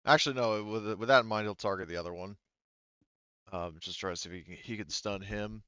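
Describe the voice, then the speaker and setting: A man with a deep-set, resonant voice runs a tabletop roleplaying session. He talks through rules in detail, thinking aloud as he goes. The sound is close and a little muffled. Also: fairly quick, sharp tone, clear, resonant tone, dry recording. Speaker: game master. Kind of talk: casual tabletop game refereeing. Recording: dull, slightly muffled close recording.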